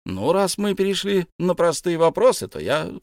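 A man's voice: high-pitched, very animated, moderate pace, a little mellow, no room sound, voice pushed up. An insistent, plucky little hobbit, as a storyteller puts him on, pushing his point in a raised, lifted voice. The sound is dry and close. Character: small, anxious but plucky male hobbit.

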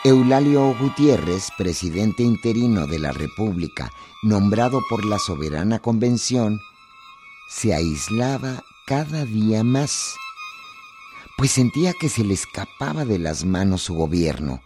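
Slow, low-voiced male narrator. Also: animated, over music. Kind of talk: scripted historical radio narration.

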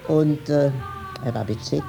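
An older woman in an interview, reflecting haltingly and searching for words as she recalls someone. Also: low voice, gravelly, music in the background.

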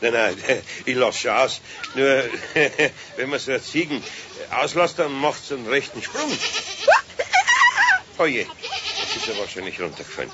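Animated, good-natured older Bavarian craftsman, played by an actor. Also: medium pitch, unhurried, pauses often, close to an everyday voice. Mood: flustered. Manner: homely, good-natured, hesitant repetitions. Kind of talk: 1970s German children's radio play acting. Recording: muffled old 1970s radio recording, noisy animal ambience.

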